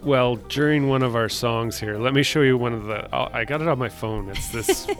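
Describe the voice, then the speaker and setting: A man's voice: mid-range voice, normal speed, soft background music. A Canadian man co-hosting in a casual chat, speaking hesitantly and often repeating his words. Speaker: sound man and co-host.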